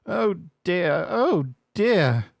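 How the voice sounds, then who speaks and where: A man's voice: fairly quick, no echo, natural voice. A man voicing a proud young red steam engine, raised and puffing, with a dry close sound. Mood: sad. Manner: puffing, plaintive, higher voice.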